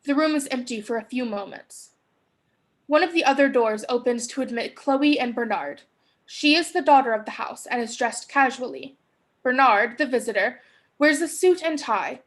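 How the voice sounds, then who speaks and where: A young woman gives scripted narration of stage directions for a play reading. Her voice sits high as she describes the action. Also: moderate pace, fairly bright tone. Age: young adult.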